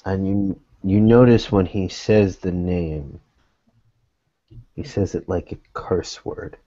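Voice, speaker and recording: A man acting as game master in a tabletop roleplay, narrating and speaking in character in a measured, deliberate way with pauses. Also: sits low, mellow, no room sound, muffled recording.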